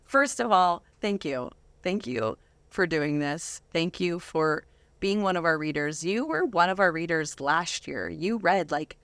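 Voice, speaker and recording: Female host, lower register, effusive and earnest, casual interview, very dry studio sound. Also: no room sound.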